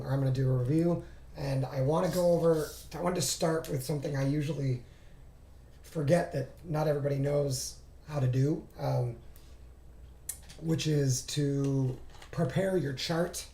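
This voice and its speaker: A man with a raspy, hoarse voice recovering from laryngitis, giving an informal, halting screen-share tutorial as a trading instructor. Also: mid-range voice, dark tone. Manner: halting, thinking aloud. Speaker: trading instructor reviewing charts. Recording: roomy, echoing voice recording.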